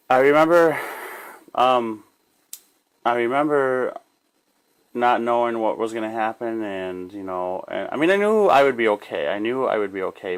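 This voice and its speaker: A man giving a casual, unscripted spiritual self-help monologue in a rambling, halting way, often repeating phrases and trailing off. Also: on the low side. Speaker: spiritual life coach sharing guidance.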